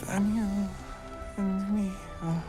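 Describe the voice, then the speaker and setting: A woman's voice: mid-range voice, fairly even intonation, slow pace, over music, close to an everyday voice. A woman playing a dazed, childlike figure singing and humming in a video game. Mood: dazed, singsong.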